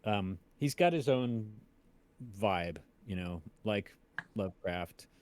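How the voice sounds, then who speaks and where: A man reading aloud in a measured, suspenseful storytelling style. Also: fairly low pitch, expressive, darkish tone, smooth. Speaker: cast member reading a story aloud on a stream. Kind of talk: dramatic story reading, then casual chat.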